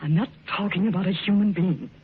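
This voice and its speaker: Actress voicing a scared young woman, halting anxious telling, old 1950s radio play, tinny narrow sound, faint hiss. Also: mid-range voice, close to an everyday voice. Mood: frightened. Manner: anxious, halting, breathless storytelling. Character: frightened young woman Elaine, haunted by shadows. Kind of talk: 1950s radio drama acting.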